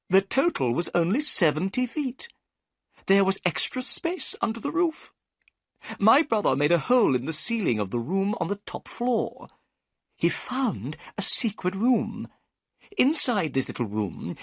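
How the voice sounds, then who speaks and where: An excitable, chattering gentleman, as a storyteller plays him talks in a raised, lively voice full of eager swings. The tone is a little breathy and recorded close and dry. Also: medium pitch, dry recording, voice pushed up, heavily acted. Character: nervous, talkative middle-aged gentleman.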